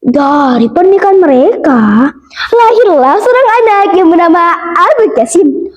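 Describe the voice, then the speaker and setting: A woman's voice: very high voice, big pitch swings, a little slow, muffled recording. A primary-school girl from Central Sulawesi giving a rehearsed, dramatic and emphatic folktale narration as a storytelling contestant. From Central Sulawesi, Indonesia. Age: child, primary-school age. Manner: declamatory, emphatic. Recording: roomy, echoing sound.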